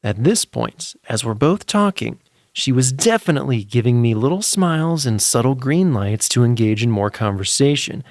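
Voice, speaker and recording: A man's voice: medium pitch, lively intonation, normal speed, darkish tone, no echo, clean studio sound. A man narrating a scripted story in a casual, anecdotal, conversational way. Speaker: narrator and storyteller of a fiction podcast. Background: music under the voice.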